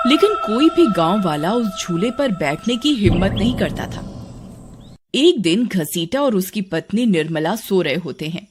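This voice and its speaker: A woman's voice: mid-range voice, noisy background. A woman reading scripted narration for a cartoon story.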